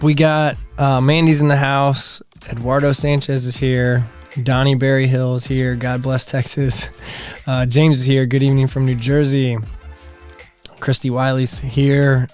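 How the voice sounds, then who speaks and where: An American man with a slightly hoarse voice, chatting casually and informally as he hosts a live hangout and reads out comments. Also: medium pitch, a little mellow. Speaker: livestream host. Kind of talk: casual live chat, reading viewer comments.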